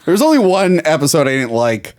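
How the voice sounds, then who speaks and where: A man with a slightly higher voice than most, talking casually as a podcast co-host. He's animated, laughing easily and jumping up and down in pitch whenever he plays out a quote. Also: brisk. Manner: animated, laughs often, acts out quotes with big pitch jumps. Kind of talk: casual chat about toys and cartoons.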